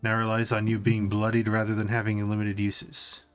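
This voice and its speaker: A man's voice: lowish voice, completely flat delivery, normal speed, clean tone. Casual man chatting over background music. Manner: informal, reads notes aloud then comments. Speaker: podcast co-host. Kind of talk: casual tabletop game discussion.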